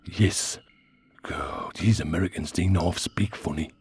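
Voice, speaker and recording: An actor playing a cheeky, chatty giant talking worm in a radio sitcom, with a gruff, husky Geordie voice and a blunt, rambling manner. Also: male voice, low voice, unhurried, darkish tone, slight background noise. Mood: grumbling. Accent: Geordie, northeast England. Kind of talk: comic radio sitcom acting.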